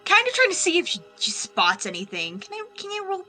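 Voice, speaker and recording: A woman's voice: extremely high pitch, wildly swooping pitch, a little fast, pushed and bright. A woman playing a tabletop game and chatting casually and playfully with the group. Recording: music under the voice.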